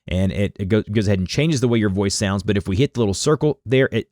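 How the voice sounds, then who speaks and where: A man's voice: on the low side, quick pace, a little mellow, no room sound, clean studio sound. A man hosting an instructional how-to, explaining steps informally as he narrates a screen recording. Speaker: tutorial host.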